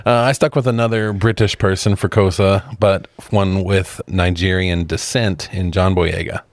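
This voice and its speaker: A man's voice: deep voice, fairly even intonation, moderate pace, dry recording, clean studio sound. A man co-hosting a podcast in a casual film review chat, laid-back and amused, with occasional swearing. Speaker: podcast co-host.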